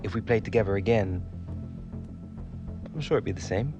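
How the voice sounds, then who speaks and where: A young English man acted for a game with a fairly low voice, a modest and loyal footballer. He speaks naturally, like everyday talk, with music behind him. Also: expressive, normal speed, lo-fi sound, natural voice. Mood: thoughtful, composed. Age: young adult. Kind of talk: naturalistic game cutscene acting.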